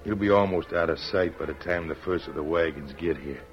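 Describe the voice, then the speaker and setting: A man's voice: low-pitched, fairly quick. A man playing a terse, scheming outlaw henchman in an old-time radio western, speaking conspiratorially. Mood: plotting. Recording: muffled vintage radio, music underneath.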